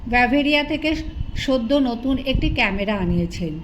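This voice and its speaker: High-pitched woman narrating a story. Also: expressive, moderate pace, noisy background. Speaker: audiobook narrator.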